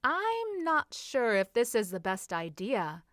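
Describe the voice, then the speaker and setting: A woman reading as a timid, wide-eyed young lady in a period story, hesitant and halting. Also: fairly high pitch, huge pitch swings, a bit bright, no room sound, studio quality, voice pushed up, heavily acted. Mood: doubtful, surprised. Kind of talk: audiobook-style character reading.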